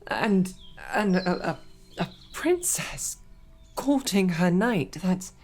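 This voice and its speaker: A woman's voice: on the low side, lively intonation, takes it slowly, faint music behind. A woman playing a stammering, flustered knight. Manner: stammering, flustered, shifting to soft whispers. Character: flustered snarky female knight, devoted. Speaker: audio drama performer. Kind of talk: romantic audio roleplay.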